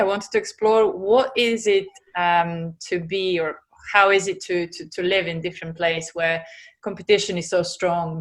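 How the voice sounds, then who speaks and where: A woman's voice: on the low side, a bit bright. A woman in her forties from Serbia, speaking with a Serbian accent, talks about herself as an interview guest, hesitating often with fillers. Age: 40s. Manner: hesitant, many fillers, laughs easily. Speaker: guest entrepreneur. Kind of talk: casual interview chat.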